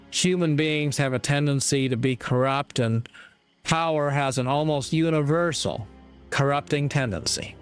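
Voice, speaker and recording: A man delivering a reflective motivational monologue in a measured, earnest way, with deliberate pauses. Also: mid-range voice. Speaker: speaker giving moral reflections.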